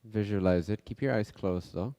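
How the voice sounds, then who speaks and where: A man leading a guided meditation as a teacher, speaking in short, unhurried phrases with pauses between them. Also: on the low side, dark tone. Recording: long reverberant echo.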